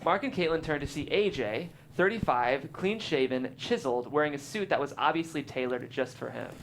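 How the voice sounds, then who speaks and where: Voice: mid-range voice, animated, a little fast, a little hiss or hum, low-quality recording. A reader narrating the stage directions in a script table read.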